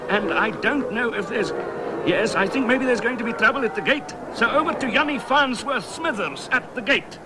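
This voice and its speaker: A man's voice: very high voice, lively intonation, normal speed, noisy background. A man playing a bumbling, digressive small-town South African cricket commentator in a 1970s radio comedy. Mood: flustered.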